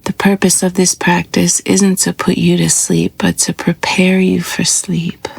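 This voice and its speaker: A woman with a soft, breathy, husky voice guiding a scripted sleep meditation in a slow, soothing, hushed manner. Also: fairly low pitch, little pitch movement, no room sound. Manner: slow, soothing, hushed delivery with long pauses. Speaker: yoga nidra meditation guide.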